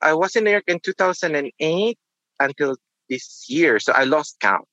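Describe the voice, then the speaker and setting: Bright-voiced man, reflective. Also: fairly high pitch, lively intonation, dry recording, muffled recording. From Philippines. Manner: reflective, halting, with restarts. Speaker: nurse guest. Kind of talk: casual interview.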